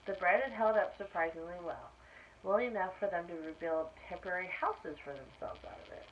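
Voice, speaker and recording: A woman's voice: fairly low pitch, fairly quick. A woman reading a picture book aloud to children, explaining things simply in asides to young listeners. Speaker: story-time reader for children. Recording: dull lo-fi sound with faint noise.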